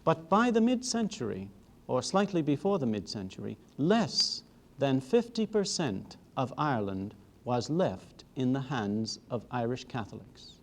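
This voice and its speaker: Measured, lively-voiced male history lecturer. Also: mid-range voice. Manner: measured, emphatic, rhetorical repetition.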